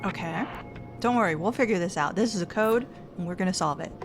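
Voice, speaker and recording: A woman's voice: fairly low pitch, a little fast. A woman streaming a game, chatty and playful, often talking to herself. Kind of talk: playing a game live. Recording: game music loud under voice.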